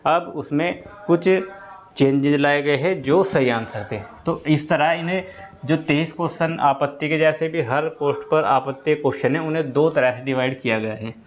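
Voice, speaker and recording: Male presenter, fairly high pitch, informal repetitive explainer delivery, dull low-fidelity sound. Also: moderate pace. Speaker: exam-update presenter for students. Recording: dull lo-fi audio.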